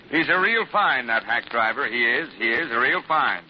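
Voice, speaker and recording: An actor playing a gruff but good-natured middle-aged police commissioner in a 1940s radio drama, blustery in manner, on a muffled old broadcast recording. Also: male voice, medium pitch, lively intonation, a little slow, a little hiss or hum, close to an everyday voice.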